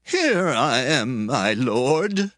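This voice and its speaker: A man's voice: medium pitch, sing-song, extremely slow, a bit bright, dry recording, studio quality, exaggerated voice. An actor playing a humble, loyal priest in a dramatized scripture reading, deferential and earnest as he speaks to his superior. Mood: respectful. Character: humble, loyal male priest pleading innocence.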